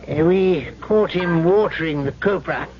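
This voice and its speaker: A well-bred scoundrel of a South Seas trader in an old radio drama. His voice sits high for a man, and he speaks with florid, calculating courtesy. The broadcast sound is dull and narrow. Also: a little slow, a crowd in the background. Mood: blunt. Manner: elaborately courteous, florid, smooth-talking. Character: suave, cunning, well-bred island trader and rogue. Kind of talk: 1970s radio drama acting. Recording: muffled vintage radio broadcast.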